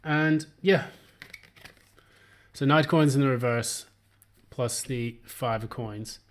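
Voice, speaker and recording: Hoarse man giving a tarot reading. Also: medium pitch, slow. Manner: rambling, many filler words and restarts. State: hoarse, voice still recovering. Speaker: tarot reader. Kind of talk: casual solo tarot reading.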